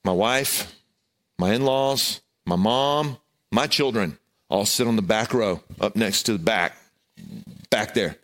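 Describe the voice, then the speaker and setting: A middle-aged man with a loud voice, preaching a sermon and telling a self-deprecating, humorous personal story. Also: lowish voice, slow. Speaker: pastor.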